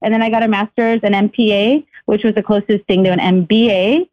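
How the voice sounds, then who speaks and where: A woman's voice: mid-range voice, fairly even intonation, a little fast. Candid woman guest on muffled call. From Chile. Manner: warm, candid, laughs easily. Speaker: company founder and guest. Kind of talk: phone interview, personal story. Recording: dull lo-fi call audio.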